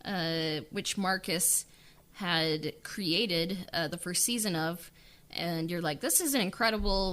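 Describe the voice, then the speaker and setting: A woman's voice: fairly low pitch, bright, forceful tone. A woman chatting casually on a podcast as a writer-director, informal and hesitant in the way she talks. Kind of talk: casual interview chat.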